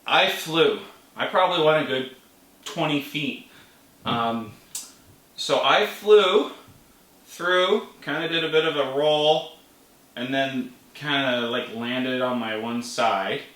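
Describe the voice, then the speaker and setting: A person telling a story in a casual vlog manner, animated, with playful exclamations. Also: mid-range voice, slow. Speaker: vlogger. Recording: roomy, distant mic with faint noise.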